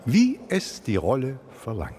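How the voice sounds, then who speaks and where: An actor playing a self-assured, scheming police chief with a Swabian accent, expansive and ironic, in a radio drama. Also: male voice, medium pitch, huge pitch swings, unhurried, warm tone, slight background noise. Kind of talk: German radio drama acting.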